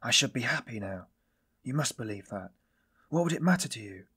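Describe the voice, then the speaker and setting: A man playing a weary, self-loathing man in audiobook dialogue, confessing in a brooding, bitter, halting manner. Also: medium pitch, bright, forceful tone, slightly rough, full character voice. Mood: bitter despair.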